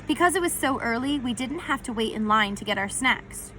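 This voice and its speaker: A woman reading a children's novel aloud as expressive first-person narration. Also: fairly high pitch, brisk, a little hiss or hum, poor audio.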